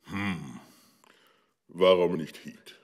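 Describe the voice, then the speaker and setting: An actor playing an imposing, curt and impatient senior government minister in a German radio drama. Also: male voice, deep voice, drawn-out words. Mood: gruff, challenging. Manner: gruff, curt, demanding brevity.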